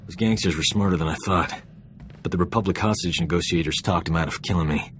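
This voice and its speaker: A man's voice: deep voice, fast talker, a bit bright. An actor playing a roguish, flippant combat medic and ladies' man in a video game. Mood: cocky, flippant. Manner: glib, breezy, self-satisfied, flippant.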